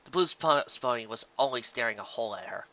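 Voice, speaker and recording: A dull, lo-fi recording of a man narrating a story. His voice sits at a middle pitch with a bright, forceful edge, and he reads fan fiction expressively. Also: expressive, fast talker. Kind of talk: expressive story narration with occasional casual asides.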